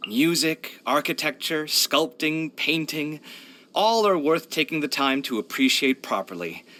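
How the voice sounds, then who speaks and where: A man's voice: fairly high pitch, unhurried. A man voicing a proud, earnest young architect for a video game, chatty and candid in his delivery. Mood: enthusiastic. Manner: chatty, candid, expressive, sighing. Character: young male architect, proud, earnest, expressive, hard-luck. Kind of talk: video game character voice lines.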